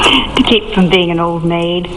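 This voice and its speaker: An actress playing a gentle, devoted young wife with dry humour, in an old-time radio drama. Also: female voice, on the low side, measured pace, close to an everyday voice. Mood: tender, teasing. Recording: reverberant, noisy old radio recording.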